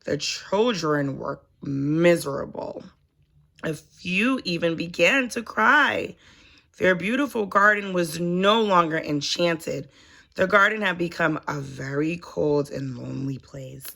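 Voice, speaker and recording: Bright-voiced woman reading a children's story slowly. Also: lowish voice, animated, gravelly. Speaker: storybook reader, teacher. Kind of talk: children's story read-aloud.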